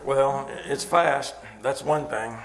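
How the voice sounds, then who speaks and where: A man's voice: medium pitch, takes it slowly, vocal fry, fairly bright tone. A man playing a weary, fatalistic faculty member in a radio thriller, hesitant and understated. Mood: bleak. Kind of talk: old-time radio thriller acting. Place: live staged performance at a winery. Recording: noisy live stage recording.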